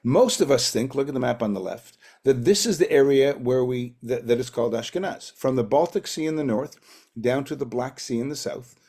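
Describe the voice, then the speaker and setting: A man's voice: medium pitch, lively intonation, a little fast, darkish tone. A man with a Canadian accent giving an online lecture over a video call, chatty with self-deprecating asides.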